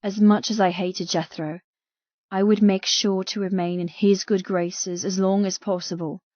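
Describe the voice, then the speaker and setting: Woman audiobook narrator, soft dark tone, scared captive telling her story, dry slightly dull sound. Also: mid-range voice, level tone, measured pace, no room sound. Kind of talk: scripted first-person dramatic fiction narration.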